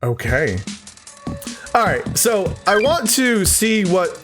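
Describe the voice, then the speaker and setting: A man with a fairly high, very animated voice gives casual let's-play commentary. He interjects reactions and talks directly to his viewers. Music plays behind him. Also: slow pace. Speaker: gaming YouTuber host. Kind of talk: casual let's-play commentary, reading game text aloud.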